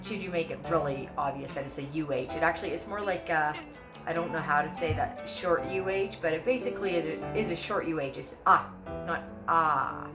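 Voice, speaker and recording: A woman's voice: sits low, fairly quick, loud music behind the voice. A Canadian woman giving an informal accent tutorial, rambling as she sounds out words and exaggerates vowels. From Saskatchewan, Canada. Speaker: amateur accent tutor, actress.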